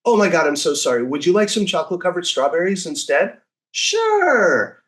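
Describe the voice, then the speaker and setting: Higher-voiced man sharing personal stories. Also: sing-song, normal speed, a bit bright. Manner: enthusiastic, acts out short quoted remarks. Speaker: interview guest, speaker and consultant. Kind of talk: conversational interview, personal storytelling.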